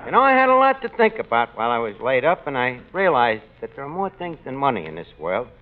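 A man playing a brash, loudmouthed tavern manager in a 1940s radio comedy, quick to blow up. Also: medium pitch, big pitch swings, faint music behind, lightly acted. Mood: sentimental. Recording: tinny old radio broadcast.

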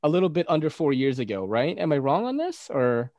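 Laid-back Filipino podcast host, dry sound. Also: male voice, on the high side, brisk, no room sound. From Philippines. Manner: laughs often, relaxed slangy banter. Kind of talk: casual chat.

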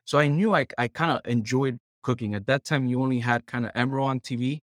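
A man's voice: medium pitch, fast, no room sound. Male interview guest from Puerto Rico, chef. Casual storyteller who quotes dialogue as he recounts, close dry sound. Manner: conversational, quotes himself and others while recounting. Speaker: guest, chef and culinary director.

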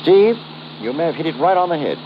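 Earnest, tired sidekick official , male actor, expressive and conversational, vintage radio serial, dull noisy recording. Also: on the high side, a little fast, close to an everyday voice. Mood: earnest, puzzled. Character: weary, earnest male official, loyal partner of the investigator. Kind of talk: old-time radio drama acting.

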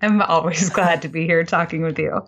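Lively lower-voiced woman guest. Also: normal speed, faint music behind. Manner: animated, laughs easily. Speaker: podcast guest. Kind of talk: casual chat.

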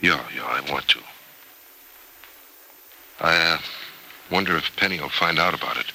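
A grave, devoted American friend in a vintage radio serial. The voice is low and very forceful, with thoughtful halting phrases, over clearly audible recording noise. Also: male voice, exaggerated voice, poor audio. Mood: hesitant, somber. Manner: hesitant, thoughtful, sober. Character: grave, caring male friend, resolute and self-sacrificing. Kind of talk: old-time radio soap opera acting.

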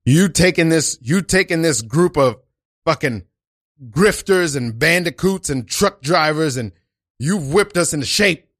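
A man playing an intense, swearing man at a support-group meeting who loudly declares his love for everyone. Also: fairly high pitch, lively intonation, measured pace, no room sound, studio quality. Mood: fervent. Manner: loud, swearing, overly emotional declarations of love. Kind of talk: improvised comedy scene.

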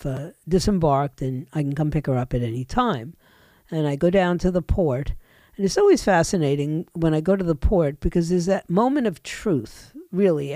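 A woman's voice: deep voice, dark tone, no echo, clean studio sound. Chatty woman radio host with a cold. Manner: chatty, rambling, self-interrupting. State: has a head and chest cold. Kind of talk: casual talk radio monologue.

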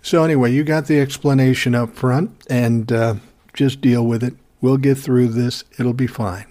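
A man in his sixties with a cold and a scratchy, hoarse throat, hosting a podcast in a calm, measured, plainspoken way, sitting close to the mic. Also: medium pitch. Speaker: podcast host. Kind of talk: casual solo podcast talk. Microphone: close to the microphone.

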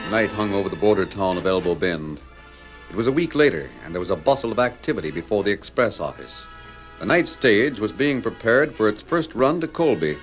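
Low, dramatic old-time radio narrator a man. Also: animated, a little fast, faint music behind, close to an everyday voice. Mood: dramatic, solemn. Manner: dramatic, formal. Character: solemn storyteller narrator of western adventure. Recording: dull band-limited old radio recording.

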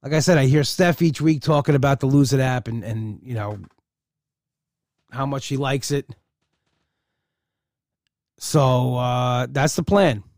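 A man's voice: medium pitch, a little slow, pauses often, darkish tone, no echo. Rambling, candid man on a dry mic. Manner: rambling, candid, many restarts. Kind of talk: casual personal monologue.

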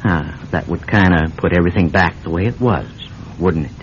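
An actor playing a wry, unhurried, folksy small-town police chief in a classic radio drama. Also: male voice, low voice, natural voice. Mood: sly, folksy. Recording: dull, noisy old radio recording.

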